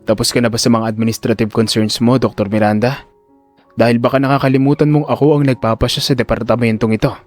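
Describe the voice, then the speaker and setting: Man acting cold, low-voiced, commanding chief surgeon. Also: faint music behind, natural voice. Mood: icy challenge. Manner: calm, measured, authoritative. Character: cold commanding male chief neurosurgeon, quietly menacing, protective.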